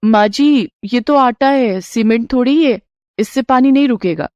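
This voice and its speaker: A woman voicing a polite, easily amazed young daughter-in-law in a Hindi cartoon moral tale, respectful and expressive. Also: on the high side, a little slow, dry recording, dull audio, voice pushed up, lightly acted. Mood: polite, concerned. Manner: respectful, wide-eyed, expressive. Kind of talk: cartoon storybook character voice.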